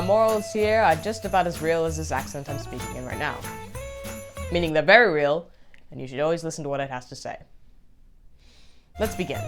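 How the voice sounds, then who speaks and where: A host telling comic stories in a theatrical, mock-solemn and emphatic way, with a put-on accent. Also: medium pitch. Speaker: storytelling show host. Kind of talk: comedic storytelling with put-on accent.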